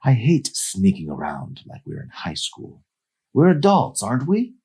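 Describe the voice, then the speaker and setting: A man's voice: mid-range voice, wildly swooping pitch, a little slow, gravelly, darkish tone, husky, voice pushed up, heavily acted. A man voicing a frustrated adult male lover in a novel reading, earnest and insistent in his delivery.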